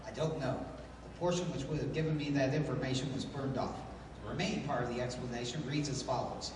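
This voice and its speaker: Commanding pulp-hero leader played by a man, analytical 1930s radio manner, mid-pitched voice, very echoey noisy recording. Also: fairly even intonation, quick pace, natural voice. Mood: grave, deliberate. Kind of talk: 1930s-style radio play acting. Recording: echoey hall from far off, noisy.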